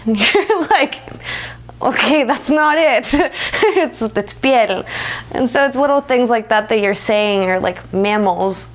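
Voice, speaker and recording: A woman's voice: fairly high pitch, animated, slow pace. A woman in her twenties with an American accent, speaking informally and reflectively in a conversational interview. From United States. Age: 20s. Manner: informal, often laughs while talking.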